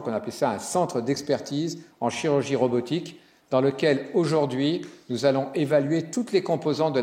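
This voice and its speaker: A man giving a conference keynote as a hospital executive, animated and joking, with some fillers and restarts. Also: mid-range voice. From Italian descent. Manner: animated speaker with jokes and fillers. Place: conference hall. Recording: roomy hall sound.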